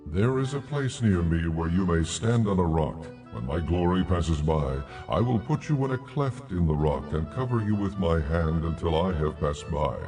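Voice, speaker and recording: Over music comes a commanding God in an audio Bible drama. The voice is very deep and dark, and every command is delivered with grave, deliberate authority. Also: male voice, lively intonation. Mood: solemn, commanding. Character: God, commanding, solemn, stern divine lawgiver.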